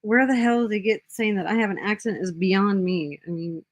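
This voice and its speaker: A woman's voice: mid-range voice, moderate pace, no echo. A woman hosting a casual live stream, blunt and swearing, laughing as she teases. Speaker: stream host.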